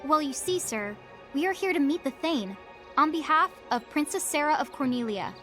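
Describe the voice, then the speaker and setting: A level-headed, sharp-tongued young game heroine. She speaks in a high, animated voice with tidy, slightly prim phrasing, over music. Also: normal speed. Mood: firm. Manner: composed, articulate, slightly prim. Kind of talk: video game cutscene acting. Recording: music clearly behind the voice.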